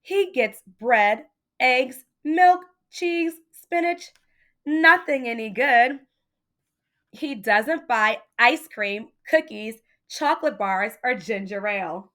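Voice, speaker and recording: A woman voicing an eager young girl with a sweet tooth in a children's storybook, insistent and excitable as she pesters her father. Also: a little high, slow, pitched above the performer's own voice. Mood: grumbling.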